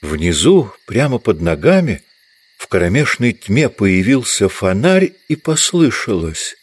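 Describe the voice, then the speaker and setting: A man narrating a literary audio drama as a measured storyteller, reading from a script. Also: lowish voice, big pitch swings, breathy edge.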